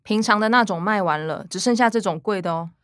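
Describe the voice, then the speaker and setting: A woman's voice: medium pitch, fast, a bit bright, dry recording, clean studio sound, close to an everyday voice. A woman playing a young student, the casual, defensive younger sister, with a Taiwanese accent in a radio drama. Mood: offhand.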